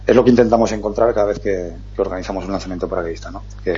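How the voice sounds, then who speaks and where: A man hosting a radio talk show, explaining at length in an earnest, fast way. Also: deep voice, dark tone, slightly rough, muffled recording. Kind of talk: casual radio chat.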